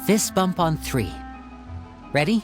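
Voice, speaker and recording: A man playing a young, protective, composed older brother in a video game, talking in a measured, theatrical way. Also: high-pitched, sing-song, full character voice. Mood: resolute. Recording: music playing behind the voice.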